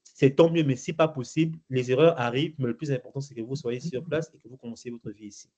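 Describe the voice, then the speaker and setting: A man's voice: medium pitch, fast talker, darkish tone. A middle-aged man from Gabon answering interview questions, hesitant, with many fillers and restarts. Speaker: interview guest. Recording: muffled lo-fi recording.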